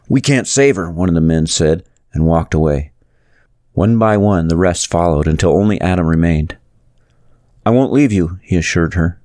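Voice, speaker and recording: A man's voice: low voice, darkish tone, dry recording, clean studio sound. A man reading a novel aloud as scripted audiobook narration.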